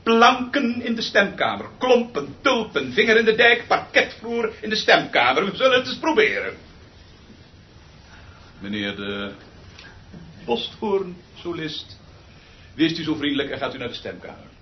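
An actor playing an imperious, exasperated, eccentric orchestra conductor in a radio play, with a pompous, theatrical manner that swings from muttering to shouting. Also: male voice, sits high, sing-song, measured pace, pauses often. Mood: imperious, impatient. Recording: dull recording with noticeable hiss.

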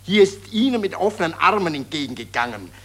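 Husky, sly journalist. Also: male voice, sits high, sing-song, a little fast, a bit bright, full character voice. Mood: insinuating. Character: sly, gossipy male Viennese journalist giving veiled threats. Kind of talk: 1940s German radio play acting.

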